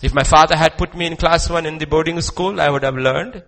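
A man with an Indian accent preaching a sermon emphatically, leaning on rhetorical questions and repetition and moving between loud declamation and quieter asides. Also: a little high, steady tone, a little fast.

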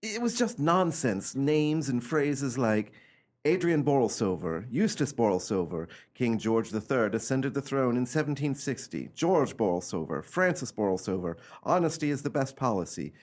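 A man playing an eccentric gentleman who recounts an uncanny family tale in a theatrical, emphatic radio drama style. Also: medium pitch, sing-song, a little slow. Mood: intrigued, ominous.

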